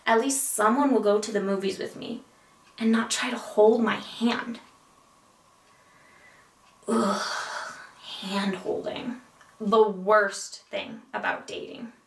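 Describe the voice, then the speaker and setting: A woman playing a neurotic, melodramatic single woman in a comedic stage monologue, with deliberate dramatic pauses. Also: medium pitch, a bit bright. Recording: echoey room, distant mic.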